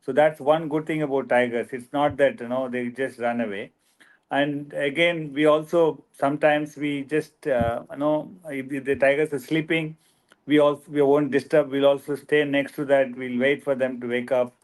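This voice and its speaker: Halting man with many restarts. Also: mid-range voice, fairly even intonation. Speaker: guest speaker, wildlife filmmaker. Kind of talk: answering questions on a panel.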